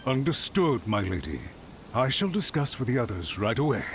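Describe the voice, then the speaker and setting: A man's voice: mid-range voice, sing-song, normal speed, a little hiss or hum, natural voice. A man voicing a contrite, anxious official in a video game, formal and apologetic as he pleads deferentially. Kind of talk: dramatic video game voice acting.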